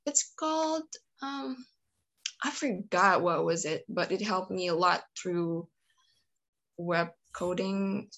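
A woman's voice: fairly low pitch, slow, vocal fry, a bit bright. A young woman from Indonesia, speaking English as a second language in a casual interview, with many fillers and restarts. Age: young adult. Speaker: interview guest, computer science student.

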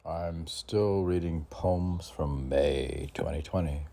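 A man's voice: rumbling low, little pitch movement, takes it slowly, creaky, mellow, slight background noise. A man with a New York accent reading his own poems aloud, rambling between them and answering himself with many fillers. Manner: meandering, talks back to himself with many fillers. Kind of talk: rambling spoken commentary and poetry reading.

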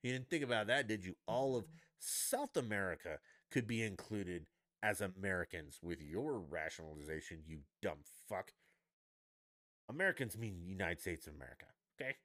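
A man's voice: animated, moderate pace, a bit bright. Sarcastic, profane man ranting. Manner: mimics others in high mocking voices. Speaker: podcast host. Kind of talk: solo opinion rant.

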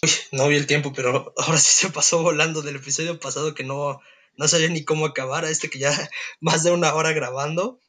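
A young adult man with a Mexican Spanish accent hosting a casual podcast chat, relaxed and quick to laugh. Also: fairly high pitch. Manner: relaxed, laughs easily, leads the segments. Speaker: podcast host.

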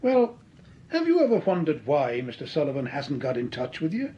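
A suave scheming crook. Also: male voice, a little high, wildly swooping pitch, normal speed, highs cut off. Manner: suave, mocking. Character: smooth scheming male crook faking his death. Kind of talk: 1940s radio acting.

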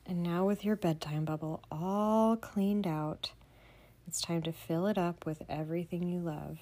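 A woman leading a children's bedtime meditation in a soothing, gentle manner, often dropping to a breathy near-whisper. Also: lowish voice, steady tone, measured pace. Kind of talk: guided bedtime meditation for kids.

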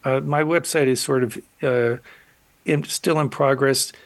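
An older man, a guest artist on a radio interview, answering thoughtfully with frequent restarts and pauses. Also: mid-range voice, steady tone, no echo.

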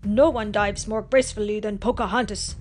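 A woman's voice: medium pitch, fairly even intonation, brisk, a little mellow, slight background noise, close to an everyday voice. A woman voicing a proud young male warrior in a children's story, with an emphatic, challenging delivery. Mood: boastful.

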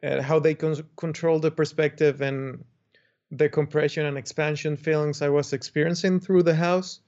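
Young Dominican architect guest, higher-pitched, rambling storyteller; dull lo-fi audio. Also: male voice, flat delivery, normal speed, darkish tone. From Dominican Republic. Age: young adult. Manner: chatty storytelling with frequent restarts and laughs. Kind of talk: interview conversation. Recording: muffled lo-fi remote audio.

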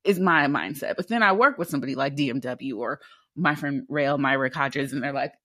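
Low-voiced woman with a deep alto. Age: 20s. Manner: candid, animated, with quick rhetorical questions. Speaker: interview guest, theatre actor. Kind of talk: casual interview conversation.

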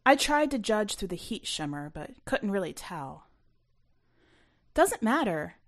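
A woman reading her own short story aloud as expressive first-person narration. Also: medium pitch, moderate pace.

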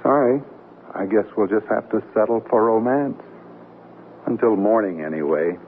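A man's voice: lowish voice, moderate pace, lightly acted. A man playing an easygoing, romantic middle-aged newlywed husband who jokes breezily in a radio comedy. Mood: wryly joking. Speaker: actor. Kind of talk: 1970s radio comedy acting. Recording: narrowband 1970s radio recording, faint hiss.

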